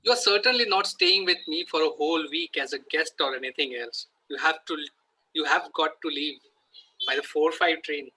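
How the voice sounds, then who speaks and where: Casting: stern, exasperated Victorian guardian played by a man, slightly high and very bright voice, amateur comedy read. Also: normal speed, slight background noise, poor audio. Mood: indignant, scolding. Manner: exasperated, clipped scolding. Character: irritable, stern, indignant Victorian English gentleman guardian.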